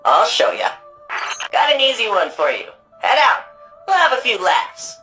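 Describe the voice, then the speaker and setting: A woman archivist turned resistance hacker for a sci-fi game. She talks casually and wryly, with an anxious edge and a lively, swinging pitch. Light music plays behind her. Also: normal speed, exaggerated voice, low-quality recording. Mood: wry, upbeat. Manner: casual, conversational, wry. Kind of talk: video game mission dialogue.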